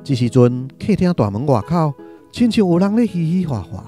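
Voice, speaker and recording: A man with a Taiwanese accent narrating a radio drama in an expressive, literary storytelling style. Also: a little high, normal speed, over music.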